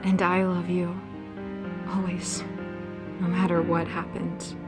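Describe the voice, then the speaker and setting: A woman's voice: lowish voice, monotone, slow, over loud music. Heartbroken young woman played by an actress. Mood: tender, sad. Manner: emotional, pleading. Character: heartbroken young woman pleading with her boyfriend. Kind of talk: audio drama acting.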